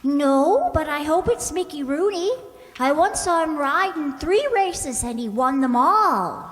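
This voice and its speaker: A woman acts a sweet, scatterbrained secretary in a radio comedy. She is naive and earnest, with a distinctly high voice. The sound carries long hall reverb. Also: expressive, measured pace. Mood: innocent, eager. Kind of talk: old-time radio comedy acting. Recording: echoey hall recording.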